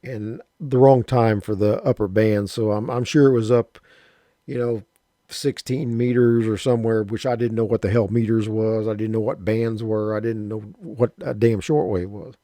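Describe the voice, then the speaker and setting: A man in his fifties with a mellow, relaxed voice, telling an old story in a rambling, folksy way full of restarts. The sound is close and dry, with no room around it. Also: lowish voice, moderate pace, no echo. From Southern Illinois, USA. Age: 50s. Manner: rambling, folksy, with mild cursing and frequent restarts. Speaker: radio hobbyist vlogger. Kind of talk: casual spoken reminiscence.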